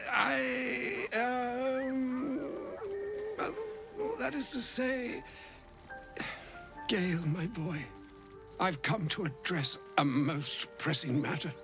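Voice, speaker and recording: An ancient wizard from a fantasy game, fussy, grand and fond of florid words. His voice is high for a man and creaks with age, swinging widely as he talks. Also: exaggerated voice. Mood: flustered. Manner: pompous, florid, wordy, halting when uneasy. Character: ancient, gluttonous, grandiloquent old wizard, grave yet fussy. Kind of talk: theatrical video game voice acting.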